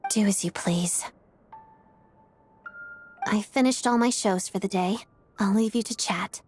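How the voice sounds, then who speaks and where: An actress playing a proud, reserved young stage performer with a cool, formal delivery in a video game. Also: female voice, medium pitch, measured pace, a little mellow, soft background music, close to an everyday voice. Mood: aloof.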